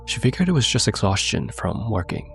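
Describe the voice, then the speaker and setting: A man with a low, gravelly voice tells a scary story as host of his own show, his nose stuffed up from a cold. He speaks in a measured, suspenseful way and talks straight to his listeners, with soft music underneath. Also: sing-song. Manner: measured, suspenseful delivery, addressing viewers directly. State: congested from a cold. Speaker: narrator and host. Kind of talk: scripted horror storytelling.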